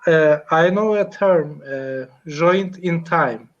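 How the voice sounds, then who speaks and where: A man with a Turkish accent speaks English slowly and haltingly, with a somewhat high voice. He fills gaps with "uh" as he searches for words. The call audio is dull and muffled. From Turkey. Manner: hesitant, many fillers, searching for words. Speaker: English learner, computer engineer. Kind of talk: casual online English lesson chat. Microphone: video call.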